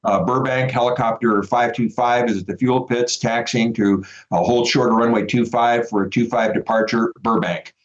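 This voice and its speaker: Muffled remote link; male veteran pilot, mid-pitched, conversational expert explainer. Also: steady tone, moderate pace, hardly any pauses. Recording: dull, lo-fi remote connection.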